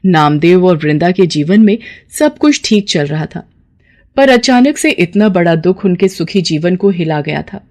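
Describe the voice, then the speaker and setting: A woman's voice: lowish voice, animated, measured pace, a little mellow. A woman narrating a scripted moral story as a storyteller.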